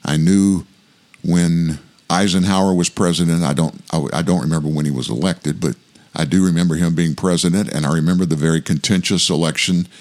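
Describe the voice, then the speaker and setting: A man in his sixties from South Louisiana with a big voice, hosting a scripted commentary show in a measured, reflective way. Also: low-pitched, fairly even intonation, a little mellow. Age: 60s. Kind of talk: scripted political commentary monologue.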